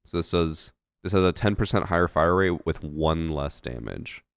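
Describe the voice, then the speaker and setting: Deep, smooth-voiced male streamer. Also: lively intonation, normal speed, no room sound. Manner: casual, thinks aloud with fillers and self-corrections. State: stuffy head cold. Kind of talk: casual live-stream chat about a shooter game.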